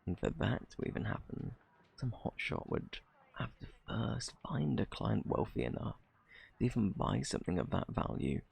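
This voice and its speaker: Man acting a gravelly, flirty British thief. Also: on the low side, big pitch swings, darkish tone, exaggerated voice. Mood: sly, smug. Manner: playful, taunting, drawn-out theatrical delivery. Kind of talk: ASMR roleplay, intimate scripted monologue.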